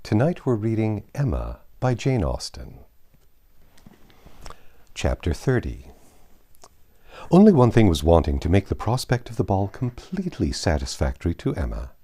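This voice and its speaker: A man reading a classic novel aloud as narrator, in a measured, formal storytelling manner. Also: fairly low pitch, wildly swooping pitch, warm tone. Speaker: audiobook narrator. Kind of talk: expressive literary reading aloud.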